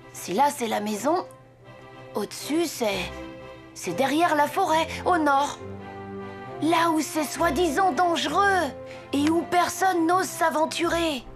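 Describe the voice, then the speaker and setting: A woman voicing an impatient, curious, headstrong young boy in a children's cartoon. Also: high-pitched. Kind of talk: French children's cartoon dubbing. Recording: music behind the voice.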